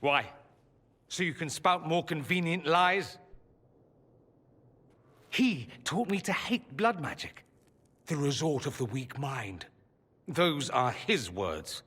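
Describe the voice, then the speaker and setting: A proud young nobleman mage from a video game, theatrical and sarcastic. His bright, forceful voice swings widely in pitch and rings in a long hall reverb, articulate and defiant. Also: very animated, slow, pauses often, breathy edge, heavily acted. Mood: hurt, defiant. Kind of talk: dramatic video game voice acting.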